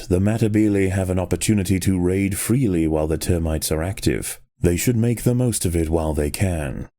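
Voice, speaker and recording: A man narrating a strategy game in the style of a nature documentary, with a measured, grave and dramatic storytelling delivery. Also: sits low, mellow.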